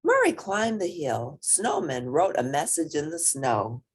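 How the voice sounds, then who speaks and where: A woman reading a children's story aloud in an expressive narrating style. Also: low voice, a little slow, slightly rough. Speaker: storybook reader.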